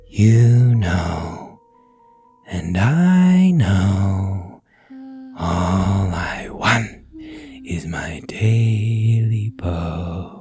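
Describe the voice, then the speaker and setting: A man voicing an eerie storybook creature that haunts an old man with a slow, menacing, drawn-out wail. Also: sits low, animated, a little mellow, faint music behind, heavily acted. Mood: mournful, demanding.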